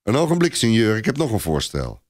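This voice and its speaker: A man's voice: lowish voice, huge pitch swings, fairly quick, voice pushed up. A man voicing a haughty, self-satisfied French viscount in a story, with a proclaiming manner. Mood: self-assured.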